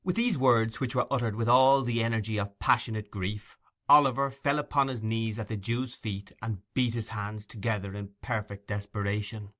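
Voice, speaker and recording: Bright-voiced male audiobook narrator. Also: lowish voice, moderate pace. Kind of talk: scripted literary narration.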